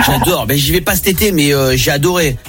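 A man's voice: fairly high pitch, a little fast, a bit bright. A man hosting a radio show, playful and teasing as he leads a game. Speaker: radio host. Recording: radio studio with music underneath.

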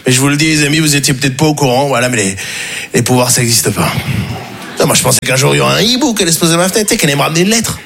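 A young man performing a stand-up comedy set on stage before a live audience, playful and quick to jump into high squeaky voices for the people he quotes. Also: animated, vocal fry, fairly bright tone.

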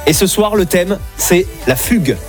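A man's voice: high-pitched, lively intonation, moderate pace, faint music behind. A man from southwest France hosting an evening radio show, bantering playfully with callers and co-hosts in a studio. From southwest France (Landes).

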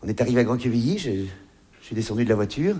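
A man's voice: medium pitch, expressive, racing. A man performing a comic monologue, conversational and mock-earnest, often interrupting himself as he tells his story. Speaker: stand-up comedian. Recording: clear background noise.

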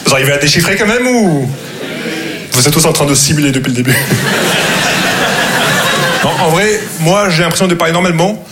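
A man's voice: on the high side, expressive, brisk, pauses often. A man from Morocco, native Arabic speaker, performing self-deprecating stand-up with posh, old-fashioned diction and a throaty, muffled resonance. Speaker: stand-up comedian. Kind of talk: conversational stand-up comedy monologue. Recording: live comedy stage, loud audience laughter. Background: laughing crowd.

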